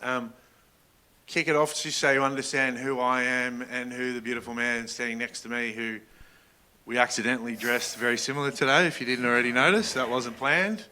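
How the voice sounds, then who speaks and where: Bright-voiced man presenting a workshop. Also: mid-range voice, steady tone, brisk. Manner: informal, engaging the room. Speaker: workshop presenter, general manager. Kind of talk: business workshop presentation to an audience.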